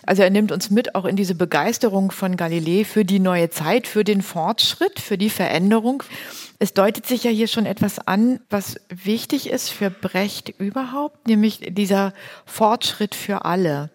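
Woman moderating, medium-pitched voice. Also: moderate pace, a little mellow. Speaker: moderator of a literary talk. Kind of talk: conversational moderated discussion.

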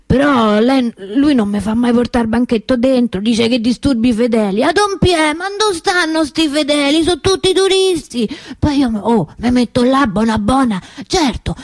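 A woman's voice: a little high, a little mellow, dry recording, clean studio sound, close to an everyday voice. An actress playing a chatty, good-natured middle-aged Roman woman who sells souvenirs, colloquial and familiar, with a Roman accent. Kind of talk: theatrical comic monologue for radio.

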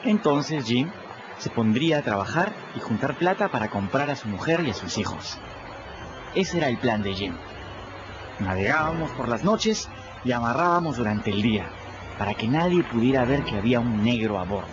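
A man playing a cheeky runaway adolescent boy who narrates his river adventures in a colloquial, animated radio drama style. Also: mid-range voice, normal speed, background noise, close to an everyday voice. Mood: animated storytelling. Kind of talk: radio drama storytelling in first person. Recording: muffled radio production with music behind.

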